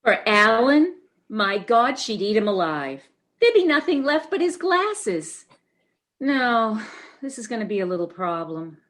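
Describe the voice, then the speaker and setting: Animated, anxious neurotic wife played by a woman. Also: on the high side, a little slow. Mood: worried. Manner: fretful, emotional. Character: anxious, neurotic, sympathetic wife, easily overwrought. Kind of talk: stage comedy readers theater.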